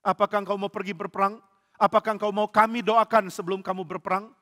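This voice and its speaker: A man doing a gentle old priest. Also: sits high, level tone, fast talker. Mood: pleading. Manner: soft, pleading. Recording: long hall reverb.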